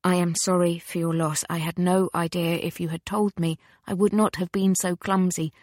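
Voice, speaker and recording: Woman acting courteous, earnest English gentleman. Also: fairly low pitch, flat delivery, moderate pace, dry recording, studio quality, close to an everyday voice. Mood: apologetic. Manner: polite, smooth, earnest.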